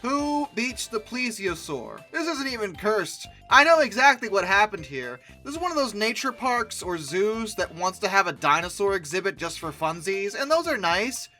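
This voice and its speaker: A young woman hosting a comedic commentary, sarcastic and exasperated. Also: mid-range voice. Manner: sarcastic, exasperated, lively outbursts. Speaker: YouTube host.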